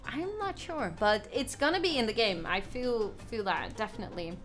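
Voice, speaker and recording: Giggly Dutch woman streaming, high voice. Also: normal speed, music in the background. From Netherlands. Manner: excitable, giggly, reading chat aloud. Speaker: gaming livestreamer. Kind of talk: casual live stream chat.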